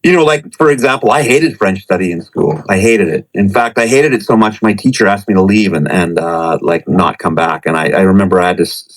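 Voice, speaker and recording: Low-voiced reflective male professor. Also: a little fast, hardly any pauses, poor audio. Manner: reflective, hesitant, many restarts and fillers. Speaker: professor, English teacher in Japan. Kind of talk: conversational podcast interview.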